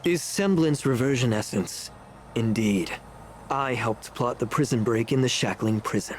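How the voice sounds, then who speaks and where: A man acting a measured, scheming clan elder. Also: mid-range voice, slight background noise, natural voice. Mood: calm, formal. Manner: measured, formal, faintly sardonic. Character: scheming, unrepentant male clan elder in a rejuvenated body. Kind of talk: video game voice acting. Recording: game music behind the voice.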